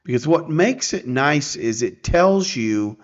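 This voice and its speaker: A man presenting a software tutorial casually, thinking aloud in a halting, conversational way with frequent check-in questions. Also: mid-range voice, very animated. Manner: halting, conversational, often checking with "right?" and "okay?". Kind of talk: casual screen-recording walkthrough, thinking aloud. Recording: dull, muffled lo-fi sound.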